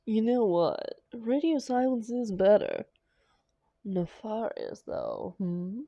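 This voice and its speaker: Woman acting a mellow, slow-talking, doting supervillainess. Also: mid-range voice, wildly swooping pitch, gravelly, dry recording, highs cut off, heavily acted. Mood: sheepish, coy. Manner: theatrical, coaxing, endearments, dramatic pauses. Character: female supervillain, doting, defensive, slyly unrepentant lover. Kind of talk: intimate roleplay audio drama.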